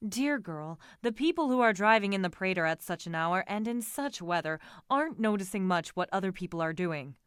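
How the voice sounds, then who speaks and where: A woman voicing a commanding, impatient young gentleman in a storybook, firm and emphatic. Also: medium pitch, expressive, a little fast, hardly any pauses, clean tone, no room sound, studio quality, close to an everyday voice. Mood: soothing. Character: impatient, commanding young gentleman lover, reassuring his companion.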